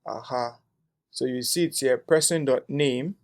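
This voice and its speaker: A man's voice: mid-range voice, measured pace. A young man teaching a coding tutorial, thinking aloud as he types, with frequent "um"s and a tag "right?". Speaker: coding instructor. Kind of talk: screen-share coding tutorial.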